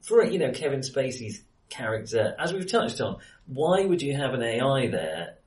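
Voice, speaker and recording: A man's voice: mid-range voice, very animated, moderate pace. Man in a roomy echo, off-mic. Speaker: podcast co-host. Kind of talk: casual film discussion. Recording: roomy echo, far from microphone.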